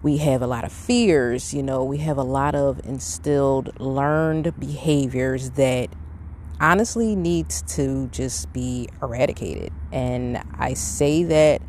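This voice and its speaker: A middle-aged podcast host speaks in a medium-pitched voice, thinking aloud in an earnest, casual monologue. The recording is made outdoors, with faint wind and ambient noise around the voice. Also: darkish tone. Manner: conversational, earnest. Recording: windy outdoor background noise.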